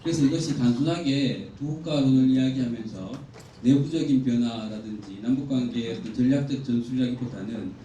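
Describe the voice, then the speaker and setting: A man giving a formal, measured academic presentation from slides at a conference, explaining point by point. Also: mid-range voice, mellow. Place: indoor conference hall. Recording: echoey hall, distant mic, faint noise.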